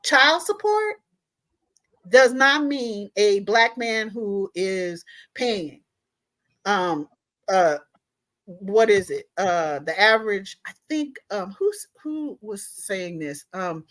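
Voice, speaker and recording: A woman's voice: mid-range voice, lively intonation, slow pace, fairly bright tone. A woman hosting an online talk, speaking emphatically and directly to viewers in an impassioned, informal way. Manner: emphatic, direct address with repeated tag questions. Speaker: online show host.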